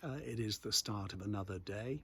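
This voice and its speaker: An older English man with a husky voice, talking reflectively and haltingly in an outdoor monologue as a vlogger. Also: fairly low pitch, pushed and bright. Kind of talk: reflective monologue to camera on a walk. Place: outdoors in countryside.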